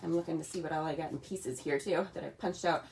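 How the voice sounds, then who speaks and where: A woman with a slightly raspy voice, chatting casually as she demonstrates a scrapbooking kit in her home craft room. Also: low-pitched, fairly even intonation, fast talker, darkish tone, a little hiss or hum. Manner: chatty, talks while working with her hands.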